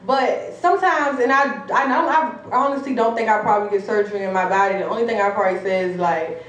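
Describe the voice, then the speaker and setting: A woman's voice: mid-range voice, fast talker. A woman in her early twenties chatting casually as an interview guest, informal and slangy, often repeating her phrases. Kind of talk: casual chat. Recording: echoey, distant mic, background noise.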